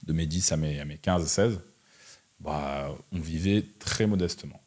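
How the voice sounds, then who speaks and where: Very deep-voiced young man, casual interviewee. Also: moderate pace, darkish tone. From Russia. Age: 20s. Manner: informal, slangy, frequent "tu vois" tags. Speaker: interview guest, entrepreneur and online content creator. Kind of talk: casual conversational interview answers.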